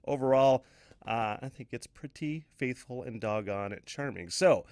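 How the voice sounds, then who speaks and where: A man's voice: mid-range voice, normal speed, a little mellow, dry recording, clean studio sound. A man hosting a podcast, talking casually and directly to listeners in a chatty, folksy way. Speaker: podcast host.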